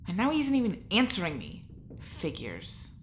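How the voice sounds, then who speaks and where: A woman's voice: mid-range voice, wildly swooping pitch, moderate pace, background noise. A woman playing a sarcastic, wary, grumbling test subject in a sci-fi audio drama, blunt in her delivery. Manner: sardonic, blunt.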